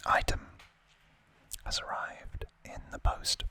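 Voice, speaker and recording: A man whispering in a hushed, deliberate manner, as an ASMR host chatting through an unboxing.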